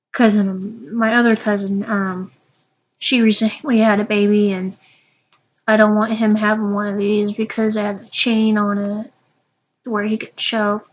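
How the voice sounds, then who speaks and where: Young woman, stuffy and sniffling, slow halting vlog chat, slightly dull lo-fi audio. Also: mid-range voice. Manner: halting, with many ums and restarts. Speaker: vlogger. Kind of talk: casual unboxing vlog.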